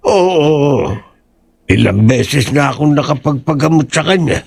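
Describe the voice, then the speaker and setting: A gentle old villager, played by a man, gravelly and raised a bit in pitch. He is a pious elder in a radio horror drama. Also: animated, unhurried, heavily acted. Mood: kindly.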